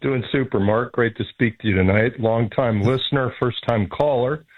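A man's voice: mid-range voice, dry recording. Earnest male caller on a phone line. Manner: earnest, polite, deliberate. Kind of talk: phone-in chat.

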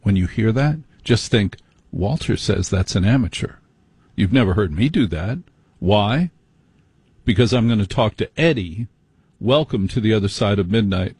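A man's voice: lowish voice, lively intonation, normal speed, darkish tone, breathy edge, no room sound. A man hosting a late-night call-in radio show, talking in a wry, teasing, joking way.

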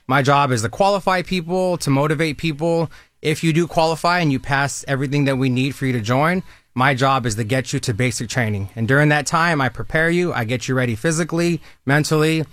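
A man's voice: medium pitch, a bit bright, no echo. Upbeat recruiter man, dry studio sound. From Southern California. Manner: upbeat, persuasive, conversational. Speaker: military recruiter guest. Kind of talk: radio interview.

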